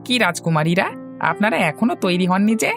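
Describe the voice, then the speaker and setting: A woman's voice: medium pitch, expressive, moderate pace, soft background music, close to an everyday voice. A woman voicing a cold-hearted palace attendant in a fairy-tale cartoon, mocking yet formal. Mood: taunting.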